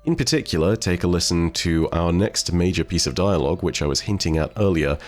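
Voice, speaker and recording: A man giving explanatory video game lore commentary to viewers in a measured, articulate, conversational way. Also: deep voice, expressive, a little mellow. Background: faint music.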